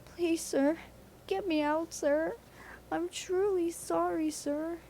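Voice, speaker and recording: A woman's voice: very high-pitched, fairly even intonation, slow pace, darkish tone, slight background noise, voice pushed up, heavily acted. A woman acts out a conceited little steam engine from a children's storybook. He is cheeky and full of himself, with a raised voice and a playful, showy manner. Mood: pleading. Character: cheeky, boastful young male steam engine.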